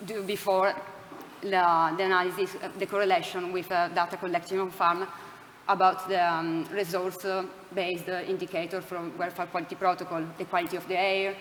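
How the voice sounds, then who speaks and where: Halting woman speaking in a reverberant hall. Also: on the low side, flat delivery, a bit bright. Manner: halting, many fillers, searching for words. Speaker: conference presenter answering questions. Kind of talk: hesitant academic Q&A. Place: lecture hall. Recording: hall reverb, faint room noise.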